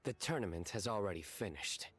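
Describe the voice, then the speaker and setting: A man playing a stern, dutiful general in a video game, measured, formal and deadpan. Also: on the low side, faint music behind. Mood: composed. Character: stern, dutiful male general who enforces the law. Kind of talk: video game character acting.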